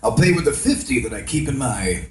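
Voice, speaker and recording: A man playing a goofy, carefree, boozy slacker in a live comedy radio drama, loud and clownish. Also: medium pitch, sing-song, brisk, a little hiss or hum, lightly acted. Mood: cheerful. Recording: echoey stage, distant mic, music behind.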